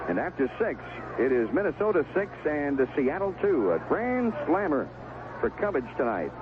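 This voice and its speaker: A man giving live 1970s radio play-by-play of a baseball game, describing each pitch in short, clipped phrases. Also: big pitch swings, moderate pace. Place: outdoor ballpark broadcast booth. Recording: noisy, tinny narrowband vintage radio broadcast.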